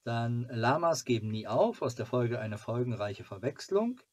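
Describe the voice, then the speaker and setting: Man, forties, mid-pitched voice. Casual home vlog host, halting delivery, muses to himself while showing items. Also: big pitch swings. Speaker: fan club video host. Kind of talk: casual chat reviewing fan merchandise. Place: small cluttered home room, indoors.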